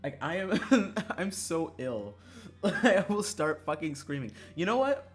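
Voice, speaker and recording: A game streamer chatting casually and excitably, swearing freely and swinging between calm asides and mock-angry outbursts. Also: mid-range voice, slow. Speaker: livestreamer. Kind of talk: casual chat while playing a visual novel. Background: background music.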